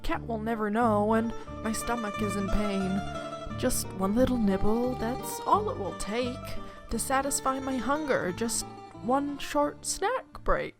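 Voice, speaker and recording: A woman voicing a naive, pleading male rooster in a folk tale, speaking in drawn-out rhyme. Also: a little high, music in the background, voice pushed up, close to an everyday voice. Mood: tempted. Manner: rhyming, drawn-out delivery.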